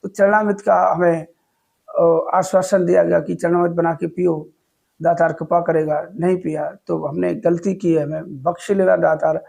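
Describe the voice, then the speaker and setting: A man's voice: a little high, flat delivery, normal speed, vocal fry, darkish tone. A man with a sore throat telling an earnest, humble story in a casual devotional talk. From Uttar Pradesh, India. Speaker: devotional video host.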